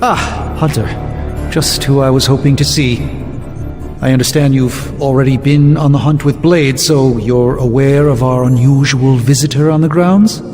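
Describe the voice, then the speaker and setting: A man playing a courteous, grave sorcerer scholar in a video game, speaking in formal, measured phrases. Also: medium pitch, steady tone, darkish tone, lightly acted. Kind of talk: dramatic video game cutscene acting. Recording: long hall reverb, music underneath.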